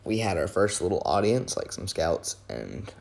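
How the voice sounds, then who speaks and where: A hoarse young man, his voice worn from yelling, rambling casually through a wrestling talk with many fillers and self-corrections. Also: low voice, sing-song, moderate pace. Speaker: wrestling video host. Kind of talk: casual fantasy-booking commentary.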